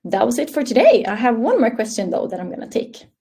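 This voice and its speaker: A woman's voice: on the high side, wildly swooping pitch, fast, creaky, mellow, breathy edge. A young woman from Sweden with a Swedish accent, English her second language, presenting a live online training webinar in a friendly, upbeat way over a webcam call. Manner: friendly, upbeat, reading out audience answers. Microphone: webcam video call.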